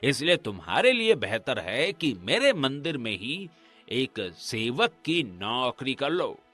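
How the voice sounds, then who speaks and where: Bright and slow-paced, this is a pompous, scheming village priest and moneylender from a cartoon, acted by a man. He is condescending and swings his pitch in a lively way, with music underneath. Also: full character voice. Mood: falsely friendly. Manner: pompous, condescending, tags questions onto sentences. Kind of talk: acted Hindi cartoon dialogue.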